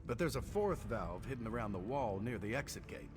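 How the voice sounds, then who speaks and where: An actor playing a chatty, sardonic prison engineer in a video game. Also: male voice, on the low side, lively intonation, a little fast, lightly acted. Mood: sardonic, explaining. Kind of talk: video game character acting. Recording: faint game music under the voice.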